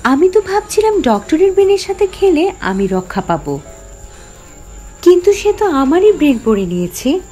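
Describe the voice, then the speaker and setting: A woman's voice: high voice, mellow. A woman playing a cunning, defiant young heroine who tells her horror story in an emphatic, theatrical way. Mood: alarmed, determined. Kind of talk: dramatic first-person horror story narration.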